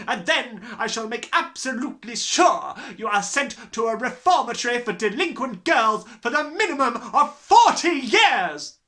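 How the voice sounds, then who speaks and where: A reader putting on a giant, bullying headmistress who bellows her contempt in a raised, bright, hard-edged voice. She swings wildly between sneering and roaring, with a pitch that leaps all over. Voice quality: booming, contemptuous. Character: huge furious female headmistress, bullying.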